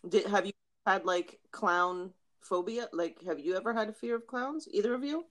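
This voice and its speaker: A woman's voice: mid-range voice, normal speed. A woman co-host in a casual chat, reacting expressively and laughing.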